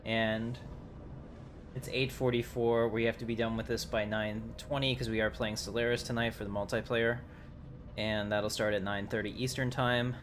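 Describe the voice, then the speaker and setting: A man's voice: mid-range voice, little pitch movement, moderate pace. A man giving casual live commentary as he streams a video game. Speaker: game livestreamer. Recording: noisy livestream with game audio.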